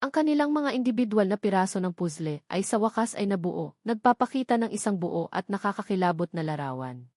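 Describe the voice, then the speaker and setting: A woman reading a scripted dramatic love story as narrator, in a measured storytelling manner. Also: mid-range voice, expressive, a little mellow, dry recording, studio quality.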